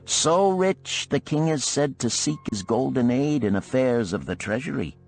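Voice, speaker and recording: A man voicing an earnest, animated musician of ancient Babylon in a storybook parable, with archaic, oratorical phrasing. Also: medium pitch, a little slow, creaky, soft background music, voice pushed up. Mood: admiring, excited.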